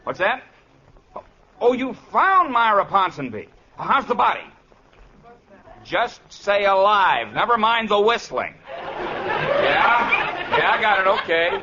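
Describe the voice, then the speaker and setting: A man's voice: high voice, big pitch swings, slow pace, long pauses, bright, forceful tone, exaggerated voice. An actor playing a fussy, officious police officer in 1940s radio comedy, repeating his words as he takes charge. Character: fussy police officer partner, eager to take over. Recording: muffled, noisy old radio recording, audience.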